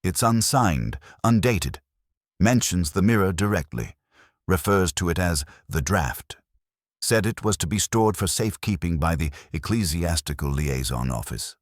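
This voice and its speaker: A man's voice: low-pitched, lively intonation, measured pace, no echo, studio quality. A man voicing a dutiful, matter-of-fact police sergeant in an audio drama, reporting plainly. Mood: earnest.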